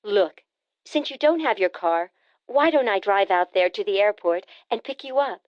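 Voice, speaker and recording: A woman voicing a brisk, teasing sister. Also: mid-range voice, lively intonation, no echo, highs cut off, lightly acted. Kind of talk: audiobook character voice.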